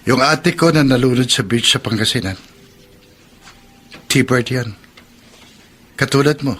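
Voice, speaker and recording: An actor playing a sincere, forgiving young boyfriend with a mildly preachy manner in a Filipino radio drama. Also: male voice, medium pitch, unhurried, a bit bright, slightly rough. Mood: calm, earnest. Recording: music bed under the voice.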